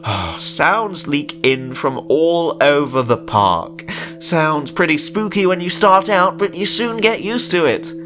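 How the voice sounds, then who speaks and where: Man acting an over-friendly, high-pitched park manager. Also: animated, takes it slowly, music in the background. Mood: smooth, sympathetic. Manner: chuckling, folksy, patronizing. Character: beaming, over-friendly male theme park manager hiding menace.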